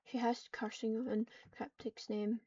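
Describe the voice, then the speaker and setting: A woman's voice: on the high side, level tone, a little slow, dark tone, a little hiss or hum. Woman roleplaying on a muffled, distant mic. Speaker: online roleplay gamer. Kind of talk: live roleplay narration mixed with acted dialogue.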